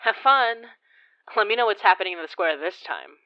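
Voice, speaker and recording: A muffled, slightly lo-fi recording carries an actress playing an awkward woman in her twenties. She rambles and hesitates in a self-deprecating, confessional way, at a middling pitch, as in a naturalistic audio drama. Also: animated, close to an everyday voice. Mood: fond. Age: 20s. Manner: halting, sighing, rambling confessional. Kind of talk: naturalistic audio drama acting, phone monologue.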